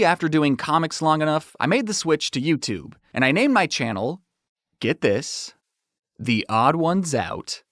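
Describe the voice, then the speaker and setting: Man, scripted comedic narration, very lively intonation, playful sarcasm, dry close sound. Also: a little high, no echo. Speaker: YouTube animator narrating own story.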